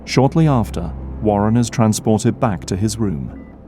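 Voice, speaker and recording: A male narrator with a low, dark, relaxed voice reads dramatic scripted narration. Faint to clear ambient noise sits behind him. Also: unhurried.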